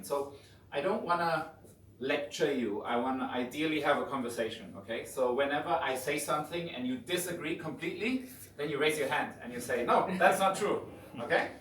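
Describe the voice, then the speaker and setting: A man's voice: on the high side, brisk. German-accented man lecturing in an echoey room. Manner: relaxed, informal, frequent restarts. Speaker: guest lecturer. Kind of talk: conversational lecture. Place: hotel conference room. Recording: echoey room, distant mic, faint noise.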